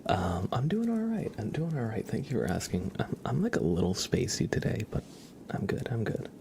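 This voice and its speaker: A man hosting an ASMR livestream, chatting casually and unhurriedly in a soft, gentle murmur that drifts into whispers. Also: on the low side, huge pitch swings, normal speed, a little hiss or hum. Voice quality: soft, breathy half-whisper. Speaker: livestream host reading chat.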